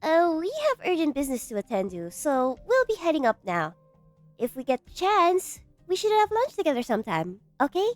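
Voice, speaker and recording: A woman playing a brisk, scheming research director in a game fan dub. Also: high-pitched, soft background music. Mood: breezy, bluffing. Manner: expressive, brisk.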